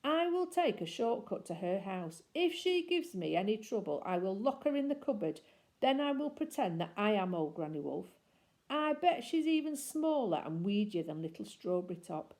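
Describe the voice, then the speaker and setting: A woman voicing a rude, brash bully girl in a storybook reading, loud, coarse and taunting. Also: mid-range voice, sing-song, moderate pace. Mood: scheming.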